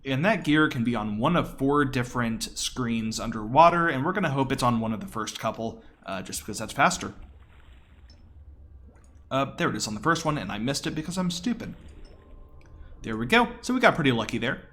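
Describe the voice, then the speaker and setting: A man's voice: mid-range voice, animated, a little fast, a little hiss or hum. A man giving casual, enthusiastic live commentary on his own video game speedrun in a conversational way. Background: quiet game audio.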